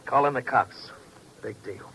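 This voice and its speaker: A bitter, cornered tough guy from a 1950s radio crime drama. His voice is bright and forceful, and he snaps out sarcastic, clipped lines. A little vintage noise sits on the recording. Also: medium pitch, a little slow, creaky, low-quality recording. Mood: fatalistic, tense. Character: bitter, cornered tough man facing a killer, sardonic.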